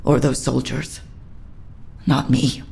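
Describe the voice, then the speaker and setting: An actress voicing a bitter, guilt-ridden military captain in a video game, with a low, gravelly voice. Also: female voice, level tone, slow pace, warm tone, full character voice. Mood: bitter, haunted. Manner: bitter, self-reproaching. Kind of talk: dramatic video game cutscene acting.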